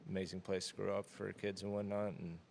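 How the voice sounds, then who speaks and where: A man in his thirties from the Florida Keys talks through an interview in a very low voice. His delivery is flat and level, rarely rising or falling. He rambles easily, leaning on plenty of fillers. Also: fast, gravelly, a little hiss or hum. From Florida Keys, USA. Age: 30s. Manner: relaxed, rambling with many fillers. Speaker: interview guest. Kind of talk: casual conversation.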